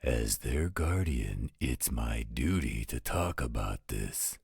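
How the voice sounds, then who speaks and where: An actor playing an overprotective, fatherly werewolf guardian in an audio roleplay, with a gravelly, husky voice and a careful, measured delivery. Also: rumbling low, expressive, a little mellow, dry recording, studio quality, full character voice. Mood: concerned, protective. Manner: slow, measured, careful explaining.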